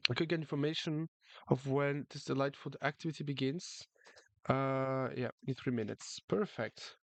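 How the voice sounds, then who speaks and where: A man's voice: mid-range voice, moderate pace, no room sound. A man chatting casually on a live chess stream, reading the chat and often repeating his words. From France.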